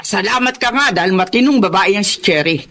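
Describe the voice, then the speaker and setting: A woman voicing a stern, scolding mother in a radio drama, her pitch swinging widely as she lectures. She talks down to her son and does not let him cut in. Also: expressive, a little fast, fairly bright tone, close to an everyday voice.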